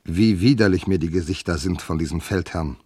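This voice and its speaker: An actor playing a bitter, hot-tempered, jealous general and wronged husband, declaiming classical verse indignantly in a radio drama. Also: male voice, low voice, brisk, a little mellow, breathy edge, no room sound, studio quality. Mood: bitter, brooding.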